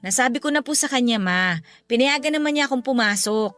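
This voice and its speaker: A woman's voice: on the high side, expressive, brisk. An actress playing an ambitious young career woman with a Filipino accent, insistent and defensive with her family in a Tagalog radio drama. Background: soft music underneath.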